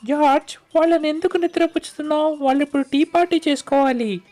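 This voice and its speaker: A woman's voice: sits high, quick pace, mellow, faint music behind, lightly acted. A woman voicing a bossy, playful young girl pig in a children's cartoon, with a childlike, pleading way of talking.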